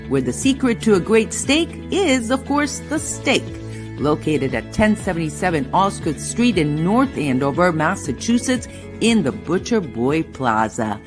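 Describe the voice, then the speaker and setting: A woman reading a scripted radio commercial for a sponsor. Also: on the low side. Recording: clear music bed behind voice.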